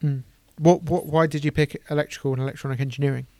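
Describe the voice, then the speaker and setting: An English man hosts a podcast, friendly and encouraging, with a gravelly, fry-tinged voice. His pitch stays level and unvarying. The recording is dry and close. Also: fairly even intonation, fast talker, a little mellow, dry recording. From England. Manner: friendly, encouraging, hesitant fillers. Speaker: podcast host. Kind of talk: casual interview chat.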